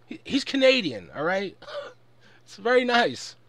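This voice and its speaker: A man's voice: sits high, huge pitch swings, a little slow, a bit bright, dry recording. A man delivering an angry, off-the-cuff rant in a profane, exasperated and emphatic way. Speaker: online commentator recording an audio log.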